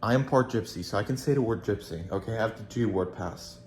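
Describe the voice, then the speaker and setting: A man's voice: fairly low pitch, quick pace, darkish tone, a little hiss or hum. A young man with a slight Polish accent, rambling frankly and swearing casually in a video journal. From Poland. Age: young adult. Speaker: vlogger. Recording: echoey room, faint ambience.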